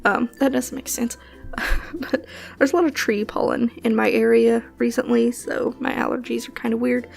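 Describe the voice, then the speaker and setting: Young female vlogger, sniffly, rambling chatty voice-over, fry-heavy, soft music bed. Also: fairly high pitch, fairly even intonation, normal speed. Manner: rambling, chatty, lots of fillers and soft laughs. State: sniffly from allergies. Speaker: gaming channel host. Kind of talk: casual voice-over commentary on a building game.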